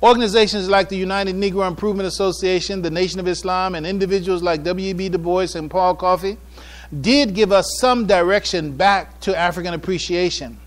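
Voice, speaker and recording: An American man delivering a prepared public address on religion and history from notes, with formal, deliberate, emphatic phrasing. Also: high-pitched. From United States. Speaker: speaker giving a public address.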